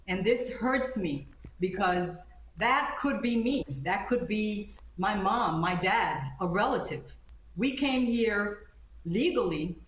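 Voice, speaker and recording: A woman born in Haiti and raised in the United States, speaking emphatically and indignantly as an attorney guest in a live news interview. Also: mid-range voice. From born in Haiti, grew up in the United States. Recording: roomy, echoing sound.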